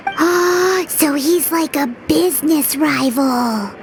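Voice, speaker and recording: A woman voicing a tiny childlike fairy companion in a video game, squeaky, chirpy and exaggerated. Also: slow, noisy background. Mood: curious. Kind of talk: animated game dialogue. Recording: loud music behind the voice.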